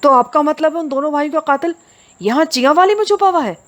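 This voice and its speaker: A woman's voice: high-pitched, expressive, quick pace, pitched above the performer's own voice. A woman voicing a nervous young village man who pleads that he is innocent, in an audio story. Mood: alarmed.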